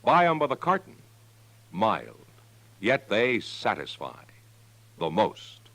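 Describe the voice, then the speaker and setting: A man's voice: lowish voice, huge pitch swings, takes it slowly, fairly bright tone, dry recording, poor audio. A man announcing and advertising on 1950s radio with a polished, emphatic, scripted delivery. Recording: vintage radio recording.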